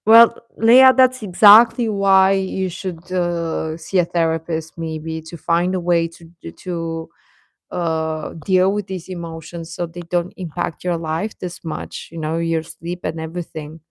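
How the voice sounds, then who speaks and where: A woman's voice: fairly low pitch, little pitch movement, unhurried, gravelly, dry recording. A woman hosting a livestream, chatting casually and advising viewers in a conversational way, often hesitating and correcting herself. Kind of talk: casual chat, giving advice to viewers.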